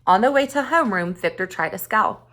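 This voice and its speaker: A woman reading a short story aloud like a teacher narrating for students, with expressive emphasis on key words. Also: mid-range voice, brisk.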